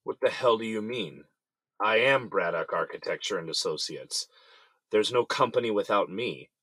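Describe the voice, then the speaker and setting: A man's voice: lowish voice, expressive, moderate pace, sharp tone, slightly rough, no echo, heavily acted. A man playing an indignant, desperate company boss in jail, in an audio drama. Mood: angry, indignant.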